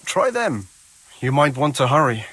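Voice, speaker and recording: Dry, dismissive medieval charcoal burner. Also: male voice, mid-range voice, very animated, a little slow, a bit bright, husky, full character voice, low-quality recording. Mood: cautioning. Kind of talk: video game cutscene dialogue.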